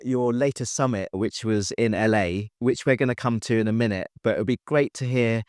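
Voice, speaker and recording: A man's voice: lowish voice, moderate pace, darkish tone, smooth, dry recording, clean studio sound. A man hosting a podcast interview, asking friendly, brief questions. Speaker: podcast host.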